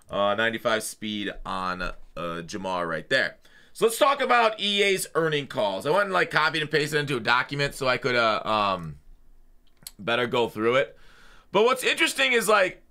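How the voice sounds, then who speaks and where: A man's voice: medium pitch, sharp tone. A man hosting a gaming channel, talking through the news in a casual, off-the-cuff way with blunt, slangy opinions.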